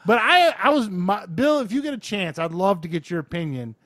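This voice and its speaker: An American man co-hosting a podcast, chatting casually in an excitable, enthusiastic way and swearing freely as he retells sports highlights. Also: brisk, dry recording, clean studio sound. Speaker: podcast co-host.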